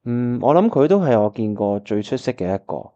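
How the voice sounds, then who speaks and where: A man's voice: mid-range voice, big pitch swings, brisk, dark tone, lo-fi sound. A man with a Hong Kong Cantonese accent acting a disheartened, wistful time traveller who narrates his own radio drama. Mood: reflective narration. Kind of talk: first-person radio drama narration and dialogue. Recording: music playing behind the voice.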